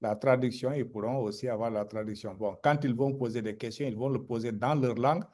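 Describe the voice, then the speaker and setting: Man in a mid-range voice chairing an online meeting. He explains calmly and warms up for anecdotes. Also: medium pitch, a little fast. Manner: animated when telling anecdotes, otherwise calm and explanatory. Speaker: meeting moderator.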